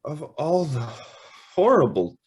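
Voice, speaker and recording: A man's voice: on the high side, very animated, slow pace, breathy edge, full character voice. A man playing an earnest, hesitant young man in love in an old-time radio style drama. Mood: appalled. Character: earnest, smitten young man, increasingly horrified guest.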